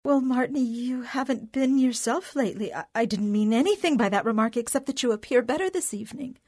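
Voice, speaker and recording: An actress playing a worried, devoted sister in an old-time radio horror drama, earnest and pleading with a husky, breathy voice. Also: female voice, fairly high pitch, fairly quick, a little mellow, no echo. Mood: reassuring, anxious.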